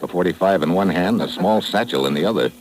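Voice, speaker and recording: An actor with an American accent playing a tough, wisecracking secret agent who narrates in a clipped, wry manner in a 1950s radio drama. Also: male voice, low voice, fast talker, natural voice, rough recording. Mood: narrating. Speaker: narrator and lead.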